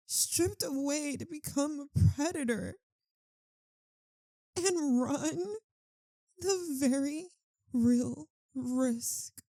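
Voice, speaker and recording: A woman playing an anguished, tender, guilt-ridden vampire lover in an ASMR roleplay, speaking in a halting, intimate, pleading way. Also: sits high, dark tone, dry recording, heavily acted. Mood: anguished, bitter.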